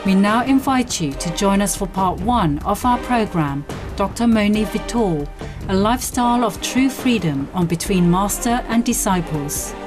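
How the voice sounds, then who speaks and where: Female narrator, soft devotional read, mid pitch, music bed underneath. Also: expressive, moderate pace. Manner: gentle and devotional. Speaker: program narrator. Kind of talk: scripted narration.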